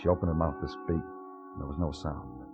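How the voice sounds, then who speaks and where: Noir radio cop: deep, weary, deliberate, muffled vintage recording with music behind. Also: male voice. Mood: brooding. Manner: terse, brooding, deliberate. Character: weary, hard-boiled male New York police detective. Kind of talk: 1950s radio crime acting, poetic noir narration.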